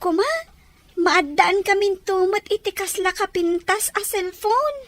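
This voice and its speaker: A woman's voice: very high-pitched, steady tone, unhurried, fairly bright tone, no echo. A woman playing a sad, plaintive young child in an Ilocano audio drama. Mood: tearful, wistful.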